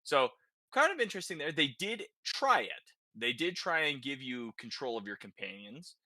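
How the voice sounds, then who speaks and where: Bright, forceful-voiced man on a gaming podcast. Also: mid-range voice, sing-song, normal speed, no room sound. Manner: conversational, often tags statements with "right?". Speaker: podcast host. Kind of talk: casual gaming podcast discussion.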